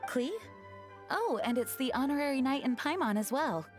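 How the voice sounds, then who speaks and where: A woman voicing a dutiful, courteous female knight commander in an anime game, speaking formally and in measured phrases. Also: high-pitched, expressive. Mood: composed. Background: game music.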